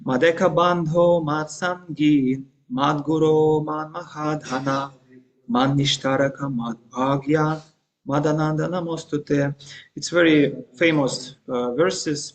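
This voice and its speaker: A man with a Russian accent lectures in careful, hesitant English. He speaks slowly, stopping often for fillers and restarts. His voice sits a little higher than most men's. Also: steady tone. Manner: halting, frequent fillers and restarts; hesitant non-native English. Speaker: guest lecturer. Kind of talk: scripture class lecture.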